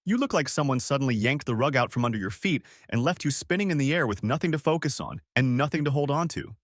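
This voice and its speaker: A man's voice: medium pitch, lively intonation, fast, dry recording, clean studio sound, voice pushed up, lightly acted. A man reading a story aloud, voicing a distraught young wife who whines and pleads in a raised voice. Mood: pleading. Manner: whiny, tearful pleading.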